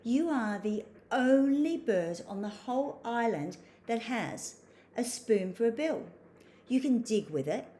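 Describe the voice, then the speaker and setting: Lady doing a mama spoonbill telling off her kids kindly, emphatic, slow, mellow, storybook style. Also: on the high side, voice pushed up. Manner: emphatic, measured listing. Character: chiding, reassuring mother spoonbill.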